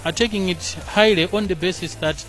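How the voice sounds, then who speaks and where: Forceful Malawian advocate, man. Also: high voice, fairly quick, faint music behind, poor audio.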